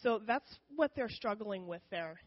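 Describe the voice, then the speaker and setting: A woman speaks to her church congregation about missionary work in a frank, unpolished, conversational way, often pausing on "um." Her voice sits at a medium pitch. The recording is slightly dull and lo-fi. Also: animated. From United States. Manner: conversational, frequent ums, candid. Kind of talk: informal church missions presentation.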